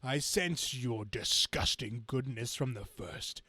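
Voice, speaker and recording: A man's voice: mid-range voice, slow, bright, forceful tone, breathy edge, no room sound, heavily acted. A man voicing a menacing, gloating man-beast supervillain with stilted, grandiose speech, reading a comic book aloud.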